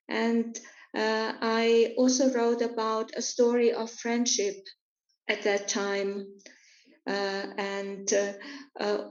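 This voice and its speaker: A woman's voice: medium pitch, darkish tone. A woman interpreting an interview into English, hesitant and full of filler sounds. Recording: roomy, dull lo-fi recording.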